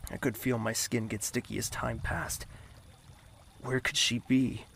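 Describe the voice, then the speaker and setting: A man playing an earnest, anxious young man who narrates his own tale in an audio drama, with some gravelly fry. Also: mid-range voice, level tone, a little slow, a bit bright, a little hiss or hum. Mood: worried.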